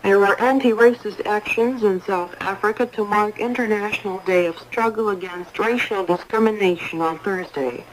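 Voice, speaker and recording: A woman reading a scripted news bulletin in a formal, measured way, heard over a 1980s shortwave radio broadcast. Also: medium pitch, rough recording. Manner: formal, measured announcer delivery.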